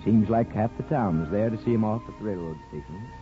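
An actor playing a gentle, folksy small-town barber and grandfather in a 1950s radio drama, measured and reflective. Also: on the low side, lightly acted. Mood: wistful reminiscence. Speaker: lead actor and narrator. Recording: muffled 1950s radio broadcast, music behind.

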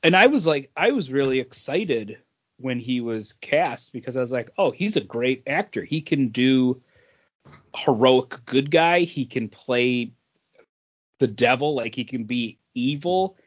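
A man's voice: medium pitch, lively intonation, measured pace. A man co-hosting a podcast, giving his opinions in casual conversation. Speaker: podcast co-host. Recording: dull, lo-fi podcast audio.

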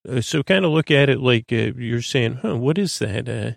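Male podcast host for bedtime listening: creaky, close dry sound, lulling, meandering and halting. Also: mid-range voice, lively intonation, dry recording. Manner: meandering, stammering, trails into tangents; soothing, lulling delivery. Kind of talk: rambling bedtime monologue.

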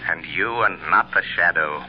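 Man acting mysterious crime fighter, very low voice, ominous and deliberate, old radio drama, dull noisy recording. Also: lightly acted. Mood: commanding, cool.

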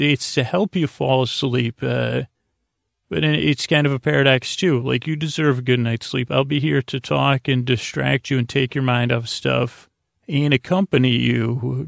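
A man hosts a sleep podcast in a gravelly, creaking voice that barely moves in pitch. He meanders through his monologue haltingly, in a lulling, soothing manner. The sound is dry and close, with no room to it. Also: no echo. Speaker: host of a bedtime podcast. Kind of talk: rambling, meandering monologue for falling asleep.

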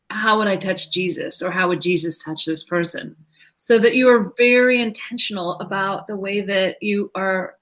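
A woman's voice: mid-range voice, a bit bright. Affirming woman guest speaking fluently. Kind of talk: conversational podcast interview.

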